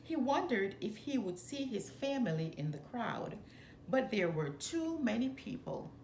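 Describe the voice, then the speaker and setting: Woman, children's read-aloud narration, slow deliberate delivery, expressive storytelling, roomy echo with faint noise. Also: a little high.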